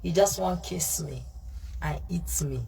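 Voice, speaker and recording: A woman's voice: on the low side, measured pace, creaky, heavy background noise. A woman preaching on a livestream in a confrontational, scolding way, mixing in Pidgin and repeating herself, recorded at a distance from the microphone. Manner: mixes in Pidgin. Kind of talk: fiery informal sermon, answering live comments.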